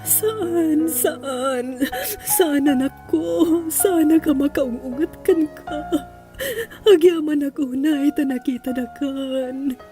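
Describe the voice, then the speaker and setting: A woman's voice: sits high, takes it slowly, over music. A woman acting a distraught, remorseful mother in a melodramatic radio drama, tearful and pleading, with an Ilocano accent. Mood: tearful relief. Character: distraught, remorseful mother searching for her lost child.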